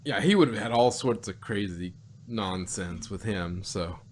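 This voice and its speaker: A man co-hosting a review podcast in casual, unscripted chat, rambling and often rephrasing himself with animated asides. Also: fairly low pitch, a little slow, a little hiss or hum. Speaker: podcast co-host reviewing audio dramas.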